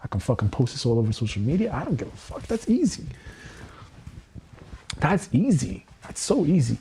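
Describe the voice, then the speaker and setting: A man's voice: a little high, huge pitch swings, moderate pace, dark tone, slight background noise. A man in his thirties on a livestream, speaking earnest, repetitive self-affirmations with frequent swearing. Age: 30s. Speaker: EFT tapping livestreamer.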